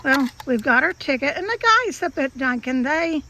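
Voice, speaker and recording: A hoarse woman hosting a homesteading vlog, chatting casually and explaining tips to viewers while working outdoors. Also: high voice, normal speed, fairly bright tone, slight background noise. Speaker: homesteading channel host.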